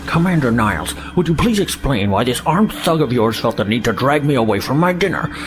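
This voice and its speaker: A man's voice: medium pitch, sing-song, a little fast, close to an everyday voice. A man playing a flustered, weaselly alien trader who stammers and blusters in a sci-fi audio drama. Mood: indignant.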